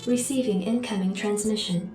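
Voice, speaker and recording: A woman's voice: medium pitch, steady tone, normal speed, over music, natural voice. A woman voicing a composed shipboard computer in a sci-fi game, delivering formal, precise briefings. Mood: neutral, official. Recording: echoing, distant processed voice.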